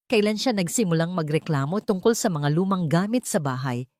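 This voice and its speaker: A woman's voice: lowish voice, lively intonation, a little fast, darkish tone, no echo, clean studio sound, natural voice. Woman voicing stern, suspicious older father. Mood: stern warning. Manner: grave, menacing.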